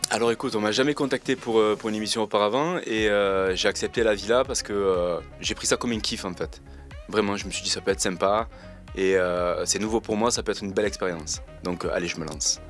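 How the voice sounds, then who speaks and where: A man, answering interview questions in a relaxed, slangy way and pausing often with fillers. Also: on the low side, faint music behind. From Marseille, France. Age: 20s. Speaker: reality TV contestant interviewed.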